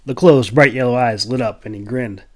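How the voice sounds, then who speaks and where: A man's voice: medium pitch, normal speed, darkish tone. A man reading scripted narration for a fantasy adventure audiobook. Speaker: author narrating his own audiobook.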